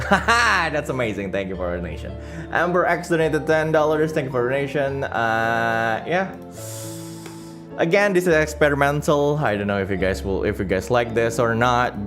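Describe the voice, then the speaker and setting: A man hosting a livestream in casual chat, halting and rambling as he searches for words, with as his language. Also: on the high side. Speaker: livestream host. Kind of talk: casual livestream chat, reading donations and comments. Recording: music playing behind the voice. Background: background music.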